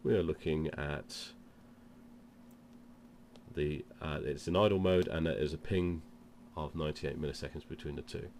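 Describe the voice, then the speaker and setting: A man's voice: a bass voice, steady tone, moderate pace, darkish tone, a little hiss or hum. A man with a cold presents an informal product demonstration, explaining things step by step. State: has a cold. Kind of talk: informal instructional demo.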